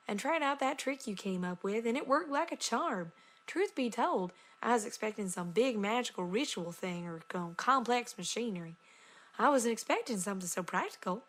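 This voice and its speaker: A woman's voice: on the high side, close to an everyday voice. A woman voicing an earnest country farm pony, plainly reading a letter aloud. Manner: folksy, plainspoken. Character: earnest, down-to-earth young country farm mare, cartoon pony.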